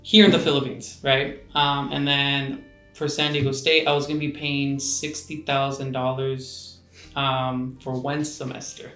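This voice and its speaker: A man's voice: mid-range voice, level tone, measured pace, fairly bright tone. A man in his early twenties from the United States, answering interview questions casually with many fillers. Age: 20s. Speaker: guest. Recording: roomy echo, faint music behind.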